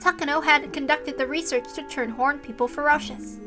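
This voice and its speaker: High-pitched woman narrating a dramatic story. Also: steady tone, moderate pace, music in the background. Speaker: narrator reading a visual novel aloud.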